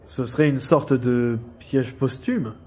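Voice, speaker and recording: An actor playing a patronizing, prying older uncle in politics, in a French audio drama, with probing, hesitant delivery. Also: male voice, mid-range voice, takes it slowly, dark tone, slight background noise, lightly acted. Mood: probing, concerned.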